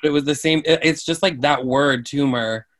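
A man's voice: a little high, moderate pace, a bit bright. A man chatting casually as a podcast co-host, in a loose, sympathetic, conversational way. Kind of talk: casual chat.

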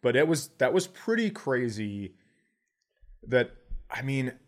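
A man's voice: mid-range voice, big pitch swings, takes it slowly. Sweary man telling casual comic stories. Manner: sweary, sarcastic ranting. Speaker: comedy podcast host. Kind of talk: casual comedic storytelling.

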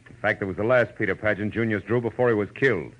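An actor playing a tough, wry, cynical private eye in a clipped 1940s radio drama style. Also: male voice, on the low side, quick pace, close to an everyday voice. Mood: firm. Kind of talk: 1940s radio drama acting, first-person narration and dialogue. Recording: dull vintage radio recording with music.

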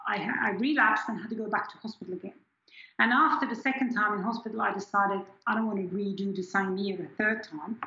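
Bright-voiced woman in her forties. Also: mid-range voice, reverberant, dull audio. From Switzerland. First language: German. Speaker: scientist guest. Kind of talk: candid personal interview.

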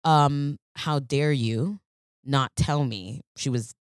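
A woman guest on a podcast, telling stories in casual chat with animated bursts of laughter. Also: very deep voice, slow pace, clear, resonant tone, no echo, studio quality.

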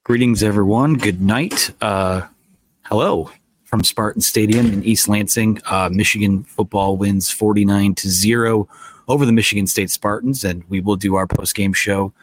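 Low-voiced male host fighting a cold. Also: normal speed, low-quality recording. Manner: casual, filler-heavy, live and unscripted. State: losing his voice, coming down with a cold. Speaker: podcast host. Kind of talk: post-game sports talk. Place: stadium press box.

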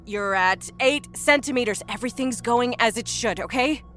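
A woman performing stressed female nurse, high sharp voice, urgent, short-tempered, audiobook drama. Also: moderate pace, faint music behind, natural voice. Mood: firm reassuring. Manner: urgent, strained, stammering. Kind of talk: dramatic audiobook character acting.

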